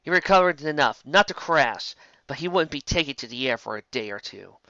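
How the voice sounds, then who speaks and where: A man narrates an adventure story in a dramatic scripted style. His voice is mid-pitched with a bright, tense edge. The recording is very dry and slightly dull. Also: animated, a little fast, dry recording.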